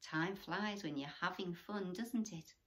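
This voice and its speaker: A woman's voice: lowish voice, a little slow, a bit bright. Woman voicing a bold, kindly sea turtle. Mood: kindly, reassuring. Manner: confident, encouraging.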